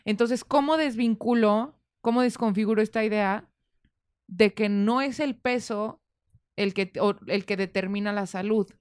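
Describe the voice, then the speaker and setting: A woman's voice: mid-range voice, measured pace, darkish tone, clear, resonant tone, no echo, studio quality. A woman with a Mexican accent hosting a conversational interview, speaking in an informal, reflective way as she works toward her questions. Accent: Mexican Spanish. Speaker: podcast host.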